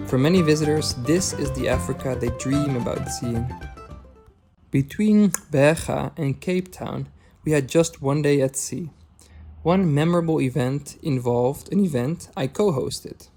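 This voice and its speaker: A man whose first language is Dutch, narrating his travel vlog in a calm, measured, scripted voice-over. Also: medium pitch, soft background music. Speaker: travel vlogger.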